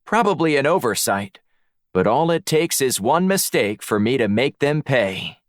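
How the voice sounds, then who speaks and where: A man voicing a smug, sharp-witted female analyst with a playful, self-satisfied manner in an audiobook. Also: medium pitch, very animated, moderate pace, no room sound, clean studio sound, voice pushed up, natural voice. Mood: smug, triumphant. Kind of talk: audiobook character dialogue.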